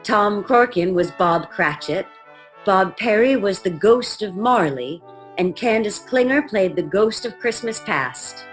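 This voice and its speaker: A woman narrating a scripted radio drama with measured storytelling emphasis. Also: medium pitch, expressive, faint music behind.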